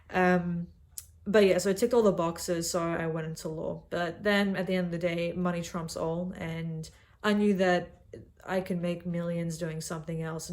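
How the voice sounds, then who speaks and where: Young Aussie woman, slightly low voice, cocky self-deprecating vlog delivery. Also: darkish tone. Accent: Australian. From Australia. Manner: brash and self-mocking, calls the viewer sweetheart. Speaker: vlogger. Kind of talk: casual talk to camera. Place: cabin.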